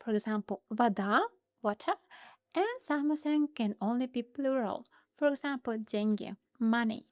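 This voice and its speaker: A woman's voice: on the high side, normal speed. A young woman with a Russian accent teaching a grammar lesson online, playful and speaking straight to her viewers. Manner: lively, playful, talks directly to viewers.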